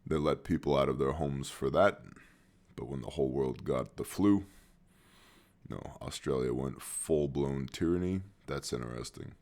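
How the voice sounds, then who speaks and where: A man hosting a news briefing, reading items in a steady tone and cutting in with dry, sarcastic asides. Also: very deep voice, normal speed. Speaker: news commentary host.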